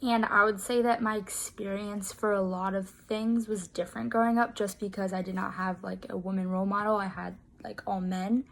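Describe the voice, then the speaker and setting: A woman's voice: mid-range voice, flat delivery, normal speed, gravelly, hardly any pauses. A nineteen-year-old woman casually explaining a class project, with lots of filler words. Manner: rambling, heavy use of "like" fillers. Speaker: student presenting a class project.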